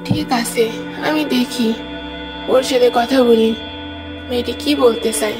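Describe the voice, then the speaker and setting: Woman as high-voiced elderly village mother. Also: fairly even intonation, slow. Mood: kindly, coaxing. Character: kindly elderly village mother fussing over her son. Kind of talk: cartoon story acting. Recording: music behind the voice.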